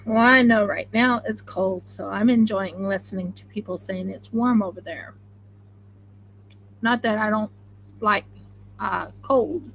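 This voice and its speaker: A woman with an Oklahoma accent talks in an excitable, rambling way, hosting a casual sewing live stream from home. Her voice has a gravelly fry to it, and a faint hiss sits under the camera-mic sound. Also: mid-range voice, unhurried, long pauses, rough recording. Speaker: craft channel host.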